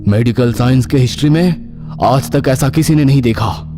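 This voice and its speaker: A man playing an excited, commanding senior doctor in a dramatised horror story, with an emphatic delivery. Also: medium pitch, moderate pace, over music, lightly acted. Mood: amazed, irritated. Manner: emphatic, amazed, mixes English into Hindi.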